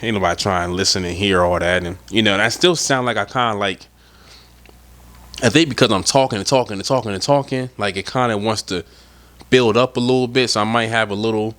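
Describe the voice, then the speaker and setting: A man's voice: lowish voice, brisk, a bit bright. A young man hosting a casual solo podcast, rambling and cursing freely, sounding a little stuffed up from a head cold. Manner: rambling, profane, laid-back. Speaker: podcast host.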